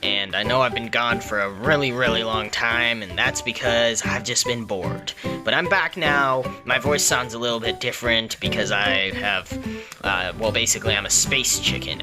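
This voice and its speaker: A man hosting his own podcast, rambling casually and joking in an in-character persona. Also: on the low side. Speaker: podcast host.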